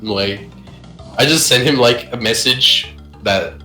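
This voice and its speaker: A young man rambles through old gaming stories with loose swearing and frequent laughs. His voice is bright and cutting. The room has a noticeable echo, with faint music underneath. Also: medium pitch, slow pace. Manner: rambling, swearing, laughs often, shouts when reenacting. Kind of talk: casual gaming chat.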